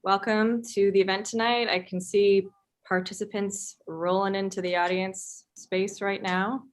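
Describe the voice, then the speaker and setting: A woman's voice: mid-range voice, level tone, a little slow. A woman reporter co-hosting an online town hall, speaking informally with some scripted introductions. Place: online video call.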